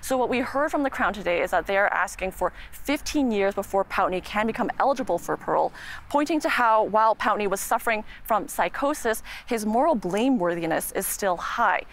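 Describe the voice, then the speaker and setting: A woman with a Canadian accent giving a measured, earnest live news report as a reporter outside a courthouse. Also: medium pitch, expressive. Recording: clear background noise, outdoor ambience.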